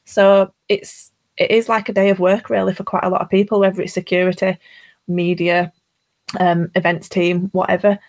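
A woman's voice: lowish voice, little pitch movement, moderate pace, darkish tone. A woman co-hosting a livestream, chatting casually and explaining things in a conversational way. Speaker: livestream co-host. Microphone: livestream call microphone.

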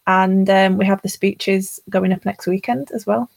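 A woman's voice: mid-range voice, fairly even intonation, unhurried, vocal fry. A woman co-hosting a livestream, chatting casually and explaining things in a conversational way. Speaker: livestream co-host. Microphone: livestream call microphone.

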